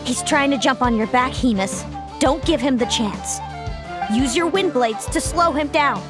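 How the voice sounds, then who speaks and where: Un'attrice che interpreta in un videogioco una giovane rivale fredda, controllata e amara. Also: female voice, sits high, animated, a little slow, music in the background. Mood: commanding. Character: cool, commanding young female rival with bitter edge. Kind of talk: video game voice acting.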